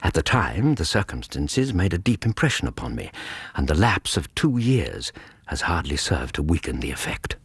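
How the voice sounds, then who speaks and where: An actor with an English accent playing a reflective Victorian doctor, narrating his memoir in a measured, literary way for radio drama. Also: a bass voice, sing-song, slightly rough, no room sound, heavily acted. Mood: reflective, composed. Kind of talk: scripted first-person radio drama narration.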